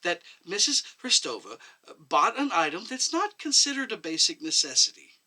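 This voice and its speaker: A man puts on a timid, flustered woman doctor for a short story. The voice is lifted high and bright, stammering and pleading with jumpy intonation. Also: big pitch swings, moderate pace, heavily acted.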